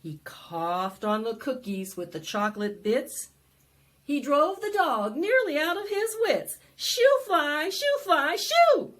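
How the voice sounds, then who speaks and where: A woman leads a preschool storytime with a very lively, swooping voice on the high side. She speaks and sings slowly, in a sing-song manner that invites little listeners to join in. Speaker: children's storytime presenter at a library. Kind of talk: interactive preschool storytime, singing and read-aloud.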